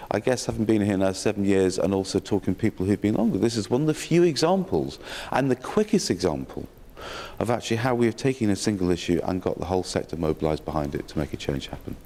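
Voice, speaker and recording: Man, very low voice, slightly croaky, dry humour, halting ums, reverberant conference hall. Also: darkish tone. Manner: dry self-mocking humour, frequent ums and restarts. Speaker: opening speaker at a health sector learning session. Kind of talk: welcome speech.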